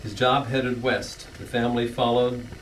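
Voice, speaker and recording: A middle-aged man reading his own rhyming anniversary poem in a church hall, earnest, with small stumbles and soft laughs. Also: mid-range voice, level tone, moderate pace, recorded from a distance, noisy background.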